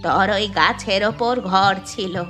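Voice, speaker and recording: A woman's voice: medium pitch, measured pace, a bit bright, soft background music, natural voice. A woman voicing a poor, outspoken old village woman in a moral cartoon dub. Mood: relieved, content. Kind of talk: Bengali moral cartoon dubbing.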